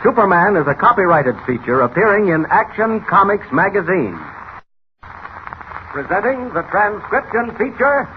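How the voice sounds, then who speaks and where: A man making rousing, excited, declamatory announcements in 1940s radio style. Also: high-pitched, moderate pace. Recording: muffled, very noisy old radio transcription.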